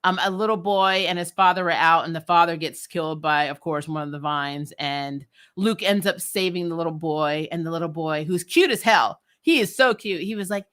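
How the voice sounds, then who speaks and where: Woman doing a casual recap vlog, really bright crisp edge to her voice, sarcastic n slangy, kinda fed up. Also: on the low side, moderate pace, few pauses. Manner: sarcastic, exasperated, slangy with swearing; acts out characters' lines mockingly. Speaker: TV recap reviewer and vlogger. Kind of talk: casual opinionated commentary.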